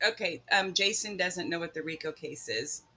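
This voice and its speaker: A woman's voice: lowish voice, animated, moderate pace, pushed and bright. A woman co-hosting a livestream, giving blunt commentary in a casual, conversational style.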